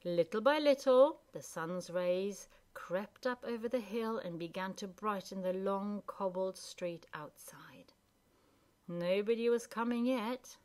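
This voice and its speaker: A woman storyteller reading a storybook aloud in an expressive narrating style. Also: mid-range voice, slow pace.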